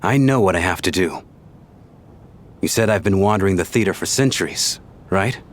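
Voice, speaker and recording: An actor playing a gentle, weary dead man at peace, resigned and wistful, in a video game dub. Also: sits low, expressive, moderate pace, a little hiss or hum. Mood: resolved, resigned. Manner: wistful, resigned, plain-spoken. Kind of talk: English game dub voice acting. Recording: music under the voice.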